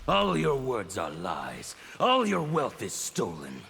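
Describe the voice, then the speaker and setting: An actor playing a fanatical anarchist revolutionary in a fighting game, with a gravelly, menacing, deliberate delivery. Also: male voice, medium pitch, wildly swooping pitch, pushed and bright, long reverb tail, slight background noise, full character voice. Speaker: video game character. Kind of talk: dramatic fighting game dialogue.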